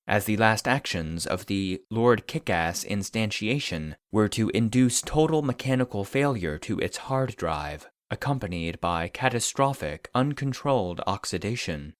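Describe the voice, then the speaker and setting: Low-pitched man, formal narrator, clinical report delivery, close dry sound. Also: no room sound. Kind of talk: scripted narration of fictional case files.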